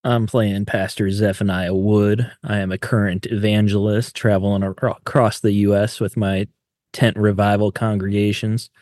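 A man's voice: fairly low pitch, level tone, normal speed, a little mellow, no echo, studio quality. A man player introducing his character in a tabletop game in a plain, matter-of-fact way.

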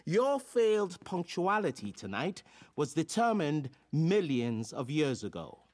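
An actor playing a forceful philosophy professor in an audio drama, lecturing in an emphatic, theatrical and blunt manner. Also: medium pitch, sing-song, measured pace. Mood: grandly assured.

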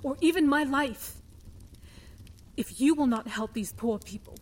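A woman's voice: high voice, a little slow, long reverb tail. A woman playing defiant Marion. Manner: firm, formal and indignant. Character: defiant noblewoman Marion, young and principled. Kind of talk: audio drama acting.

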